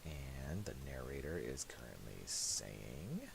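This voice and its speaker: Very deep-voiced man, slow and gravelly. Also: very animated. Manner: hesitant, thinking aloud, self-deprecating. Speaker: tutorial presenter. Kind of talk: casual unscripted software tutorial narration. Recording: hissy home recording with mouse clicks.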